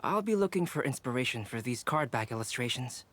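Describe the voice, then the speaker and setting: A man voicing a calm, measured young alchemist in a video game. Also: medium pitch, a little hiss or hum, lightly acted. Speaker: alchemist and artist.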